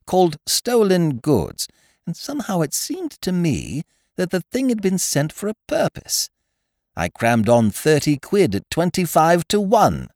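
A man voicing an amiable, dim young gentleman in an audiobook, bleating and chummy, full of old-fashioned British slang. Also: fairly high pitch, vocal fry, dry recording, studio quality, voice pushed up, full character voice. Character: amiable, dim upper-class young gentleman, easily pleased.

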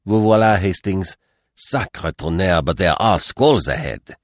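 A man's voice: low voice, lively intonation, unhurried, dry recording, clean studio sound. A man voicing a fussy, dignified Belgian detective with a French accent and a precise, theatrical, philosophical manner, in a mystery audiobook. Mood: grave.

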